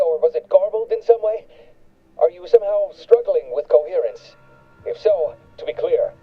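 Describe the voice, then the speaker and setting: A prim, sarcastic gatekeeper, voiced by a man, turns away visitors in a game, his voice pitched a little high. The sound is dull and muffled, with soft game music behind him. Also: natural voice. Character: haughty male facility gatekeeper, condescending. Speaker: gatekeeper on a comm line.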